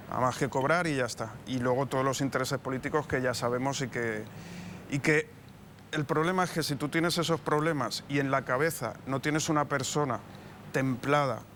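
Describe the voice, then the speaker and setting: A man's voice: mid-range voice, fairly bright tone, slight background noise. A man co-hosting a studio panel discussion, talking in hesitant restarts.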